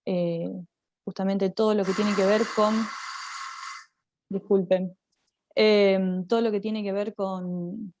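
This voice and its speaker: A woman with an Argentine accent giving a conference presentation as a drama teacher, explaining in a halting way with repeated words. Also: mid-range voice, level tone, a little mellow, no echo.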